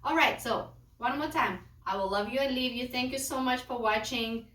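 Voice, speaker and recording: A mom vlogger from Lithuania, speaking English as a second language in a rambling, casual chat with quick restarts. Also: female voice, on the high side, a bit bright, roomy sound, distant mic. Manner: rambling, quick restarts, non-native phrasing. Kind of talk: casual chatty vlog.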